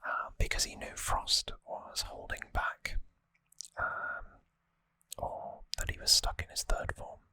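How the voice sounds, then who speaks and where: ASMR host, fully whispered, unhurried, gentle hesitant delivery, trivia recap, dry close-miked sound. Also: no room sound. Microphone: close microphone, dry sound.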